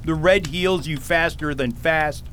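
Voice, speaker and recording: A man's voice: fairly high pitch, measured pace, fairly bright tone, a little hiss or hum. A man playing a pompous, eccentric storytelling king in a fantasy radio drama, with grandiose theatrical delivery. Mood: earnest, self-important.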